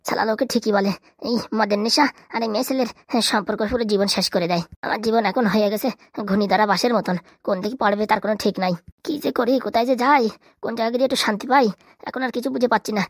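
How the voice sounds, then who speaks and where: A man's voice: very high-pitched, dry recording, studio quality. A man voicing a troubled, remorseful married villager who drinks, grumbling and scolding himself in a comedy cartoon. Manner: grumbling, self-scolding, rambling. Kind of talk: comedy cartoon dialect acting.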